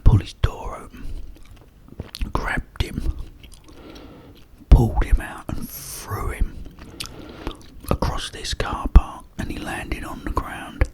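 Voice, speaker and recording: A man telling a personal anecdote in a hushed whisper throughout. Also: poor audio. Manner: whispering throughout, unhurried, drawn-out storytelling. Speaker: storyteller.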